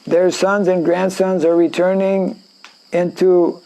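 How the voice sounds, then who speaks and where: A man with a French Canadian accent, a native French speaker from Canada, reading and explaining scripture in a class, halting with fillers and frequent throat clearing. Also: high-pitched, flat delivery, mellow, low-quality recording. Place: indoor class in a rural town.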